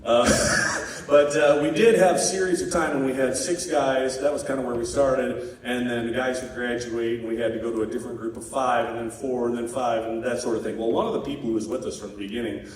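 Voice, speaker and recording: A man's voice: mid-range voice, fairly even intonation, fairly quick, darkish tone. A man in a live concert hall giving casual onstage banter between songs, chatty and chuckling, loose with fillers. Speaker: group member introducing songs on stage. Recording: echoey hall from far off, noisy.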